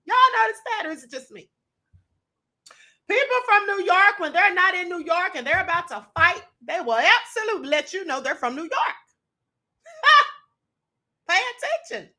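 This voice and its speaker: Host, woman, sassy video commentator; high, lively, bright voice. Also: moderate pace, pauses often. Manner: sassy, animated, laughs often. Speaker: show host. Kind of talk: commentary reacting to viral videos.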